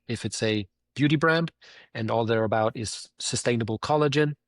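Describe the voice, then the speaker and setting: A man's voice: mid-range voice, moderate pace, no room sound, clean studio sound. A man with a Swedish accent, a company founder answering interview questions in an earnest way, often circling back to restate his points.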